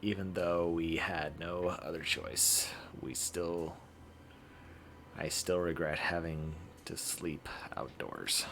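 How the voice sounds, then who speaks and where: A man live-streaming a visual novel playthrough, reading aloud haltingly and correcting his own stumbles. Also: deep voice, creaky, fairly bright tone, a little hiss or hum.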